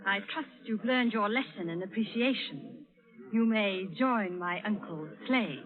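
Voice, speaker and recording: A woman playing a spirited, headstrong young gentlewoman in an old-time radio drama, with a poised, lofty manner. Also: medium pitch, animated, measured pace, crowd noise, natural voice. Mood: haughty. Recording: tinny vintage radio, live audience.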